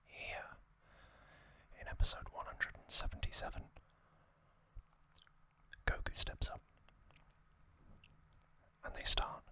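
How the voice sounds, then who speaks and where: An ASMR host narrates in a breathy whisper with a very bright, airy edge. The delivery is very slow, broken into short soft phrases with long pauses between them. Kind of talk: whispered ASMR narration recapping an anime series.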